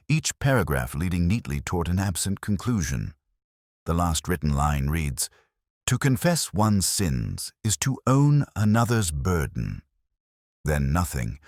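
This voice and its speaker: A man playing a brooding, world-weary detective who narrates a mystery drama in measured, solemn, literary phrases. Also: sits low, dry recording, studio quality, heavily acted. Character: brooding, melancholy male Oxford police detective, reflective and world-weary.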